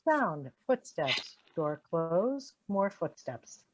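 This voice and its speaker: Very slow, low-voiced woman reading cues. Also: sing-song, slight background noise. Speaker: sound-effects reader. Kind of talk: flat reading of script sound cues. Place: remote video call performance.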